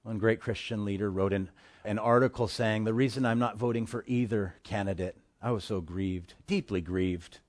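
A man preaching a sermon as pastor of his church, impassioned, swinging between hushed asides and forceful declarations. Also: on the low side, fairly even intonation, normal speed. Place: church in Hawaii.

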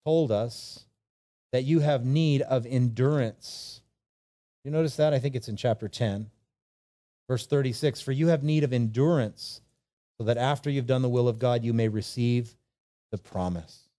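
A male preacher with a soft-edged, mellow voice at a middling pitch. He delivers his sermon in an informal, conversational way, slipping into imagined dialogue and leaning on rhetorical questions and repetition.

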